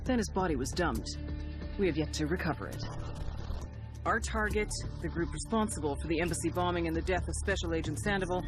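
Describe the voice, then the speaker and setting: An actress playing a resolute female intelligence officer, narrating a grim, measured mission briefing in a video game. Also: on the low side, expressive, music in the background, natural voice. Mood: grave, factual.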